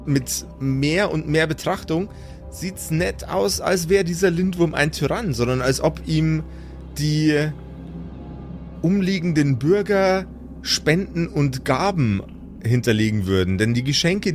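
A man's voice: fairly high pitch, expressive, measured pace, soft background music. A man working as game master, narrating an improvised tabletop role-playing game in descriptive detail, repeating words for emphasis.

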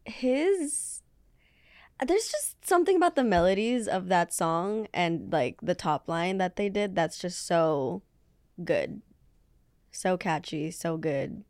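Hesitant low-voiced young woman. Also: animated, takes it slowly, a little mellow, no echo, studio quality. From Philippines. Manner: hesitant, searching for words with many fillers. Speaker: guest singer. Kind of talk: casual interview answers.

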